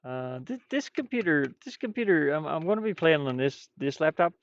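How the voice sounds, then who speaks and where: A man chatting casually on a livestream while drawing, rambling and muttering to himself, with bursts of loudness. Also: a little high, very animated, brisk, highs cut off. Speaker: streamer drawing digital art.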